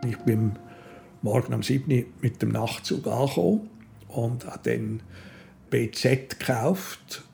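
Older Swiss German man, slightly gravelly storyteller. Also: mid-range voice, measured pace, warm tone, slight background noise. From Switzerland. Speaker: interview guest. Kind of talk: casual anecdotal storytelling. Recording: music behind the voice.

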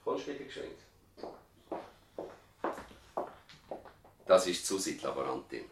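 An actor playing a courteous older country doctor in a radio play, speaking formally with a Swiss German accent. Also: male voice, extremely low pitch, fast, heavy background noise. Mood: courteous, businesslike. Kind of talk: 1970s radio drama dialogue.

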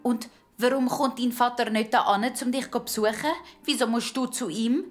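A woman's voice: a little high, steady tone, moderate pace, faint music behind, pitched above the performer's own voice, close to an everyday voice. A woman voicing a cheeky, curious young boy with a Swiss German accent in a children's audio story. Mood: pestering, curious. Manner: inquisitive, pestering. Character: young boy Musa, nosy, cheeky, blunt friend.

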